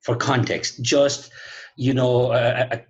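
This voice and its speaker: A man's voice: mid-range voice, level tone, slow, breathy edge. Upbeat Irish man hosting, lo-fi sound. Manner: upbeat, jokey, hearty welcoming. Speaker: host. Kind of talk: casual video chat interview. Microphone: video call.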